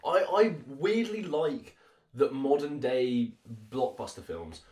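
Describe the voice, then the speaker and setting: A young British man with an average-pitched voice chats casually as a podcast host. He sounds keen and enthusiastic, and the room echoes noticeably around him. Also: sing-song, slow pace, a little mellow. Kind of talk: casual chat. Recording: roomy echo on the voice.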